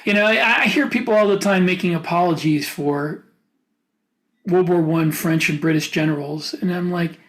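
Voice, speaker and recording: A man's voice: high-pitched, normal speed, fairly bright tone. An American man co-hosting a podcast, talking casually and reflectively in a panel discussion. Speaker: podcast co-host.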